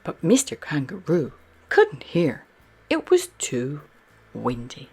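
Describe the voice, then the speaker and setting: A woman reading a picture book aloud to children, expressively and with breathy, deliberate emphasis. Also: sits low, huge pitch swings, a little mellow. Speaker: children's storyteller. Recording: music behind the voice.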